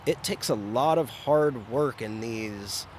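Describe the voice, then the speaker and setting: A man's voice: mid-range voice, slow pace, a little hiss or hum. A middle-aged man from Arizona, a chef, talking casually on a podcast in a blunt, wry way and swearing freely.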